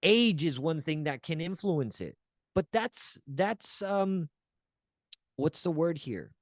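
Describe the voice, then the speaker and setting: A man's voice: on the high side, sing-song, slow pace, no echo, clean studio sound. A man teaching as a wellness coach, earnest and encouraging, often checking in with the listener and restarting phrases as he searches for words. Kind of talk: teaching talk to camera.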